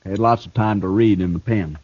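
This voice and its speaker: An actor playing a cool, smart escaped killer and thief in a 1950s radio drama, talking with a smooth, taunting ease. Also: male voice, lowish voice, fairly even intonation, brisk, darkish tone, dry recording. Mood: smug, taunting. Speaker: outlaw prisoner. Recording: dull old radio broadcast recording.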